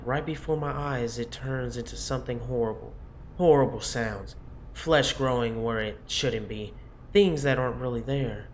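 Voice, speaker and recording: A man's voice: mid-range voice, a little hiss or hum, lightly acted. A man reading a visual novel aloud as an anxious, hesitant person confiding in a medic.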